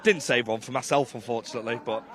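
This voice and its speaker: A man's voice: mid-range voice, fast, a bit bright. A man working as a roving presenter, chatting directly and upbeat with fans in live outdoor interviews. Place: outdoors outside a stadium.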